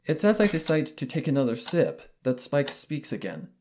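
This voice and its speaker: A man giving a first-person audiobook narration of a fan fiction story, in a measured, even storytelling manner. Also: on the high side, a little hiss or hum.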